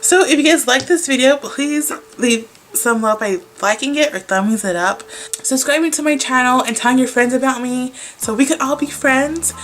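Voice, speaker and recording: A woman's voice: sits high, moderate pace, fairly bright tone, a little hiss or hum. A young woman vlogger with a congested, stuffy voice from a cold, chatting casually and rambling with lots of "like" and "um" as she unboxes beauty products. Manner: chatty, rambling, many likes and ums.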